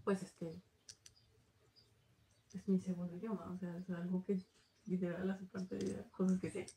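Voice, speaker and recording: Mellow young Colombian woman, laid-back. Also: lowish voice, flat delivery, normal speed. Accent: Colombian Spanish. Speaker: travel vlogger. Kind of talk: casual chat. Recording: echoey room with faint noise.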